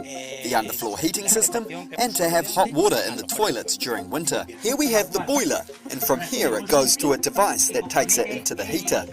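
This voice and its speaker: Lively higher-pitched man. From Spain. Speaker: olive oil producer. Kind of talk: explanatory walk-through.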